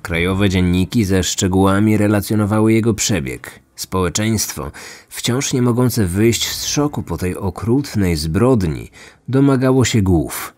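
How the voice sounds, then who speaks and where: A man's voice: fairly low pitch, animated, measured pace, darkish tone. A man hosting a true-crime podcast, reading scripted narration. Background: music.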